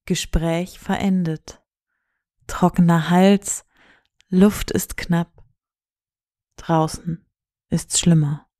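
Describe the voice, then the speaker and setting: A woman's voice: fairly low pitch, fairly even intonation, drawn-out words, long pauses, mellow, no echo, studio quality. A woman giving a scripted reading of short poems.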